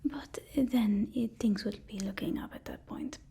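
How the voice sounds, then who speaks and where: A woman's voice: a little high, unhurried, creaky, dark tone, husky. A woman doing a whispered ASMR role-play as a supervillain's timid, apologetic assistant, speaking in a hesitant, placating way. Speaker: ASMR performer playing a villain's personal assistant.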